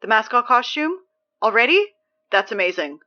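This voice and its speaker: A woman voices a flustered teenage girl doing the school announcements in a comedy audio drama. Her bright, lively voice swings widely and breaks into sarcastic outbursts. The audio sounds slightly muffled. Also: on the high side, moderate pace. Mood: wary.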